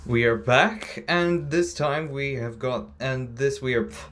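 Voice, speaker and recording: A man's voice: mid-range voice, fairly even intonation, a little slow, a bit bright. A man hosting a reaction video, talking casually in a hesitant, rambling way about what he watches.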